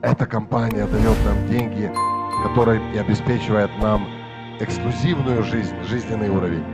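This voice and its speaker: A man's voice: fairly low pitch, normal speed, over loud music. A man working as an interpreter, rendering a seminar speaker's words phrase by phrase. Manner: steady, follows the speaker phrase by phrase. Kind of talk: consecutive interpretation on stage.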